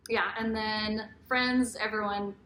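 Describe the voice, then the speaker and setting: A woman's voice: medium pitch, fairly even intonation, slow, pushed and bright. Young American woman in an echoey room. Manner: informal, candid. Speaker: vlogger, co-host of couple's Q&A. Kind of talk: casual chat, personal storytelling. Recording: echoey room, faint background noise.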